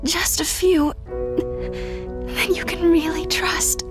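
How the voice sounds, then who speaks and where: High-voiced, tearful dying mother. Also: steady tone, loud music behind the voice, heavily acted. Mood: tender, tearful. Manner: halting, gentle. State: weak, breathy, tearful. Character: dying young mother, tender, doting, stubborn.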